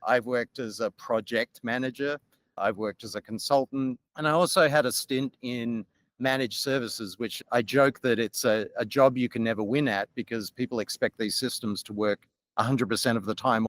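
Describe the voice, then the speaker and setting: A middle-aged man with an Australian accent tells his story as a podcast guest, measured and articulate. His voice sits at a middle pitch. The sound is dry and close, with no room around it. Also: no room sound. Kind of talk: podcast interview.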